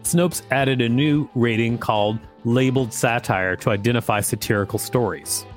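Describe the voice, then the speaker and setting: A man's voice: mid-range voice, moderate pace. Informal man co-hosting over light guitar music. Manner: informal, joking, stumbles over words. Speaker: podcast co-host. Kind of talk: reading an article aloud with casual banter.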